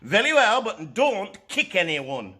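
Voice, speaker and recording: A gruff but kindly obliging boat owner from a children's storybook, acted out by a man. He speaks forcefully and stretches his stern warnings slowly, with big swoops in pitch. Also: sing-song, full character voice. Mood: firm, obliging. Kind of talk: children's storybook character reading.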